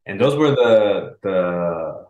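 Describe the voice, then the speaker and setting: A young man with a very low voice, talking earnestly and softly as an interview guest. He grew up speaking Spanish. The sound bounces around the room on a webcam call. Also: expressive, extremely slow, dull audio. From El Salvador. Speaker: guest, author. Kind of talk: casual interview answers. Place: dark room, indoors, on a video call. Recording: roomy echo.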